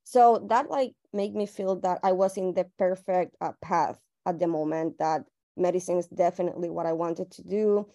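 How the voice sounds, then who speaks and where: A woman's voice: on the low side, moderate pace, mellow. A young woman from Puerto Rico, a medical student, answering interview questions with lots of fillers and restarts. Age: young adult. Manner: loose, many fillers and restarts.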